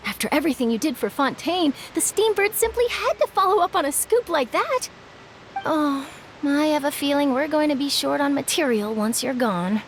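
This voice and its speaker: A woman's voice: high-pitched, animated, normal speed, slight background noise. A woman playing an eager, bubbly young newspaper reporter and photographer in an anime game. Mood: friendly, chatty. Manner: bubbly, exclamatory.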